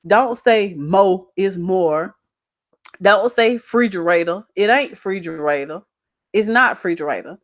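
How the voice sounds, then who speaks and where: A woman's voice: mid-range voice, measured pace, no room sound, studio quality. A young adult woman with a Southern US accent, talking casually as a channel host in a folksy, emphatic way on a livestream. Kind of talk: casual livestream chat reading out viewer comments.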